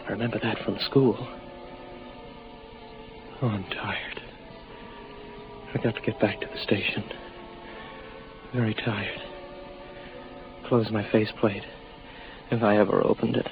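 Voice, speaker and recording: An actor playing an exhausted, delusional lone space station officer in 1950s radio drama, halting, brooding and gravelly. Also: male voice, fairly low pitch. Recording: muffled, noisy old radio recording.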